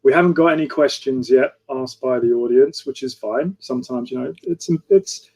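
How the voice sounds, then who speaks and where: A man's voice: medium pitch, expressive, normal speed, vocal fry, darkish tone. A man moderating an online fireside chat over a video call, conversational and often ending his points with "right?".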